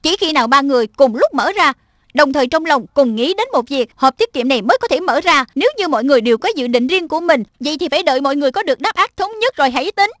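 Magical lion pet, acted by a voice performer. High, instructive, knowing mentor in a children's cartoon, dry sound. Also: animated, quick pace, fairly bright tone, no echo. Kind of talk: Vietnamese-dubbed children's cartoon.

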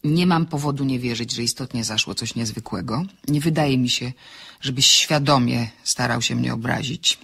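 A woman's voice: very deep voice, normal speed, gravelly, fairly bright tone, slightly rough, exaggerated voice. An actress playing an inquisitive, self-possessed young woman in a radio play, teasing with dry, ornate irony. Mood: composed, formal. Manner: teasing, ornate, dryly formal phrasing.

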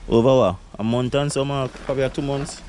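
Relaxed Vincentian man touring his garden. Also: mid-range voice, a little fast, a little hiss or hum. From Saint Vincent and the Grenadines. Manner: relaxed, chatty, laughs often, creole phrasing. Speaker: vlogger giving a garden tour. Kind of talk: casual chat. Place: outdoor backyard garden.

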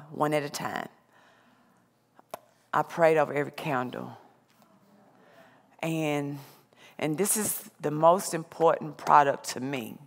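A middle-aged woman giving a plainspoken, conversational personal testimony on a theater stage through a headset microphone. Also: low voice. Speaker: speaker sharing personal testimony. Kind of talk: personal testimony to a live audience. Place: large theater stage, indoors. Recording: hall reverb from stage sound.

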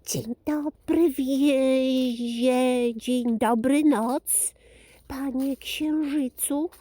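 A woman's voice: sits high, fairly even intonation, drawn-out words, mellow, dry recording, heavily acted. A woman voicing a tiny, shy, curious storybook mouse girl who speaks hesitantly and can stammer. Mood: shy, polite.

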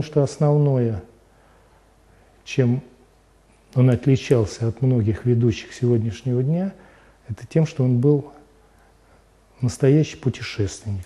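An older man recalling the past in an interview. His voice is very dark and mellow, his pace measured with small hesitant fillers. Lapel mic in a studio set. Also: medium pitch. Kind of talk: interview recollection.

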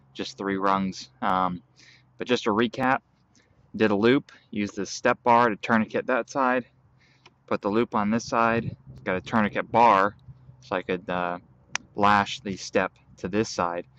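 A young man outdoors in a field, calmly walking through a hands-on tutorial step by step while he works with his hands. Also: lowish voice, dull audio. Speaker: bushcraft instructor.

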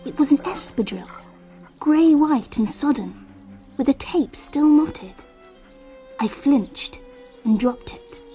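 An actress playing an anxious English girl narrating her summer in a radio drama, with hushed, intense, deliberate storytelling. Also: on the high side.

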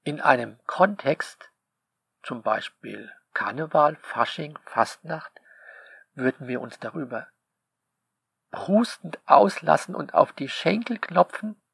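A man's voice: fairly high pitch, animated, slow, vocal fry, fairly bright tone, breathy edge, dry recording. A man, the author, speaking a sarcastic, polemical monologue to his listeners and peppering it with rhetorical questions. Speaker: author reading commentary on his novel.

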